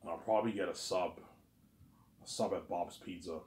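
A man's voice: low-pitched, fairly even intonation, normal speed, darkish tone, roomy sound. A man in his thirties rambling casually in a monologue to his viewers, repeating himself and stumbling over words. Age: 30s. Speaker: vlogger.

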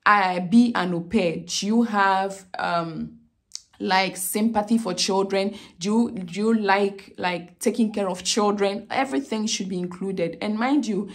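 A woman's voice: mid-range voice, unhurried. A woman in her thirties with a Ghanaian accent, explaining visa steps to camera in a casual, repetitive way, often checking in with "okay?". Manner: repeats "okay?" often, many fillers and restarts. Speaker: vlogger giving visa advice. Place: small indoor room with plain wall.

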